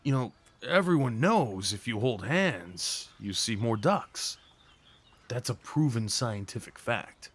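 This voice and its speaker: A man acting as a big, gentle guy on a first date, in a mid-pitched voice that swings widely up and down. He is sweet and a little nervous, teasing playfully with boyish enthusiasm in an intimate ASMR roleplay. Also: expressive, slow. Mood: tender, playful. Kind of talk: ASMR roleplay audio drama, one-sided conversation.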